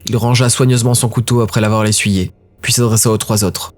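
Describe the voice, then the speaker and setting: A man narrating a dark fantasy audio drama in a steady, grave way. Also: fairly low pitch, a little fast.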